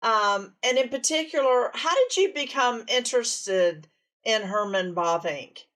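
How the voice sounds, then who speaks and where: A woman co-hosting a podcast interview, asking her questions in a measured way. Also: fairly high pitch, expressive, a bit bright, recorded from a distance. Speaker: podcast co-host.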